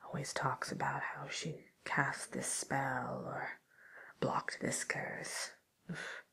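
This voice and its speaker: A woman playing a gossipy, mildly menacing witch in a whispered ASMR roleplay, speaking in a breathy, hesitant, musing way. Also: a bass voice, level tone, takes it slowly, exaggerated voice. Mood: gossipy, disdainful. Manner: hesitant, musing, softly sly.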